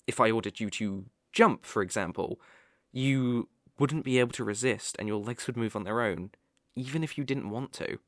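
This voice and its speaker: A man performs a sly young kitsune fox spirit with a British accent in an intimate ASMR roleplay. He speaks softly and coaxingly, possessive under a playful hesitance, at a middling pitch. The sound is close and very dry. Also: normal speed, no room sound, natural voice. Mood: coaxing, explanatory. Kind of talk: ASMR roleplay, acted directly to the listener. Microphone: close microphone, dry.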